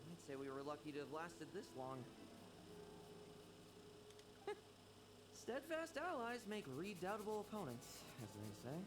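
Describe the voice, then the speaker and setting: An actor playing a cold, ruthless schemer who gives clipped, commanding orders. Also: male voice, fairly high pitch, wildly swooping pitch, measured pace, long pauses. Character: cold, ruthless male schemer chasing power. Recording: game audio with background noise.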